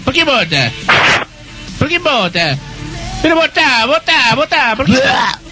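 A man voicing a piercingly high, nagging radio prankster, hammering callers with the same question again and again. His voice leaps all over the place. A music bed plays clearly behind him. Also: sing-song, a little slow, heavily acted. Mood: badgering. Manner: pestering, repeating questions. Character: shrill pushy male radio prankster. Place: radio studio.

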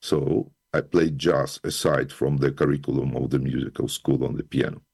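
Very deep gravelly man. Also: fairly even intonation, normal speed, darkish tone, no echo, studio quality. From Ukraine. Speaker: guest, scientist and musician. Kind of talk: interview answers.

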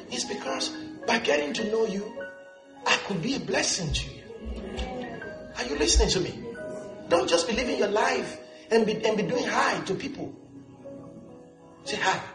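A man preaching a lively sermon as pastor, with jokes, asides and laughter, in a church. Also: sits high. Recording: echoey church with music.

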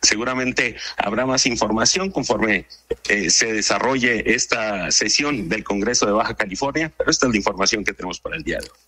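A man's voice: on the low side, brisk, pushed and bright. A man in his fifties with a Mexican accent, a field reporter chatting with the host and signing off his report. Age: 50s. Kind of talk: news report and chat.

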